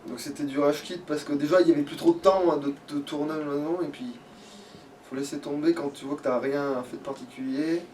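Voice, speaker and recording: A man's voice: on the high side, fairly even intonation, a little fast, darkish tone. A man doing casual let's play commentary as he plays, grumbling and swearing freely. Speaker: let's play streamer playing the game. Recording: distant mic with background noise.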